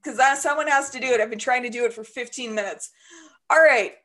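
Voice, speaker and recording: A woman's voice: on the high side, fast, sharp tone. A woman co-hosting a casual podcast, bantering and swearing as she rambles through the outro while tired and up past her bedtime. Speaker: podcast co-host. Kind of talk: casual drunk chat.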